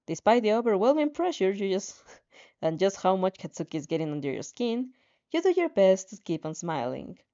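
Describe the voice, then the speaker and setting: A woman reading a story aloud dramatically in the second person, expressive and informal, sometimes tripping over her words. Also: medium pitch, normal speed. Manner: expressive, stumbles over words and giggles at slips. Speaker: fan fiction reader and reactor. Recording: dull lo-fi sound.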